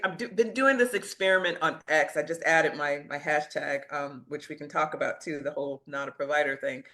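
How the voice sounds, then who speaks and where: A woman's voice: low voice, bright, forceful tone. A woman talking as a podcast guest, conversational, stressing and rephrasing her points. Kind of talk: conversational discussion.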